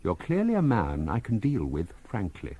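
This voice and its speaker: An actor playing a genial, very old spacefaring scientist in a radio drama, speaking in a formal, measured, commanding way. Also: male voice, on the low side, huge pitch swings, slightly rough, phone-quality sound, exaggerated voice. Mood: pleased, frank.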